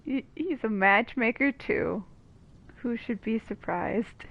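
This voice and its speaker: High-voiced woman doing playful game commentary. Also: animated, slow pace, gravelly. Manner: playful, wry asides. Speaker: gaming video host. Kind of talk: casual let's-play commentary. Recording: slightly dull lo-fi mic. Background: faint game music.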